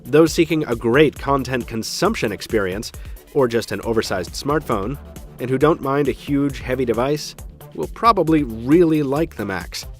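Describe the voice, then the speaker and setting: A man's voice: mid-range voice, lively intonation, normal speed, faint music behind. A man hosting a tech show, narrating a scripted product review in an emphatic style with dry, wry asides.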